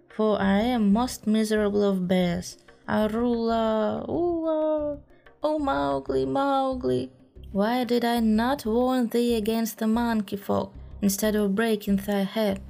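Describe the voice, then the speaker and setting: A woman's voice: medium pitch, creaky, warm tone. A woman voicing a grieving, fretful old male bear who laments and pants as he speaks. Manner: lamenting, panting.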